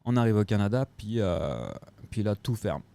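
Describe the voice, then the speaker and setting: A French man chats as a podcast guest, recounting a move abroad in an easygoing way. His voice sits low and warm, and he fills gaps with hesitations. A faint Québécois lilt colors his French. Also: moderate pace, gravelly. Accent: French with a picked-up Québécois touch. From France. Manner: relaxed, lots of filler hesitations. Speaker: guest, co-owner of a restaurant. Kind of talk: casual storytelling chat.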